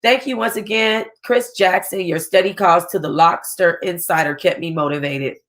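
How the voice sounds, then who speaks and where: A woman's voice: on the low side, steady tone, moderate pace, a bit bright. A woman book club host reading a written text aloud.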